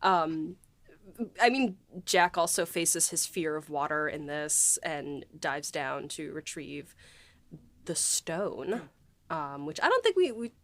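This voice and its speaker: A woman's voice: low-pitched, sing-song, slow pace. A woman hosting a podcast in casual, unscripted chat with an informal manner. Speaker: podcast host.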